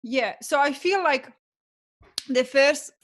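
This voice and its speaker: A woman's voice: sits high, takes it slowly, a bit bright. A young adult woman from Italy with an Italian accent, a guest coach telling her story reflectively in a casual podcast interview. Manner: reflective, storytelling, uses fillers like "um" and "like".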